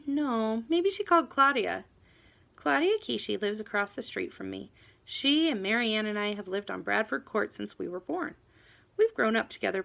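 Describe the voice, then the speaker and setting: A woman reading a children's novel aloud in an expressive, animated first-person storytelling manner. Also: medium pitch, vocal fry. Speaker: audiobook reader.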